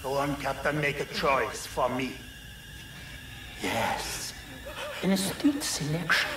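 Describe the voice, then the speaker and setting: Sadistic old German scientist, slow and swooping. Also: male voice, medium pitch, animated, far from the mic, background noise. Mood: coolly sadistic. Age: older. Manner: slow, theatrical, courteously menacing. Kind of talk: video game villain monologue. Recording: echoey chamber, loud music behind.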